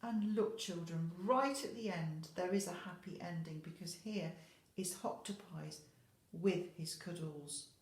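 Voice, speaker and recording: A woman's voice: lowish voice, measured pace. A woman teacher reading a picture book expressively to young children, addressing them directly with questions and asides. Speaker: infant school teacher reading a story. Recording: roomy, echoey indoor sound.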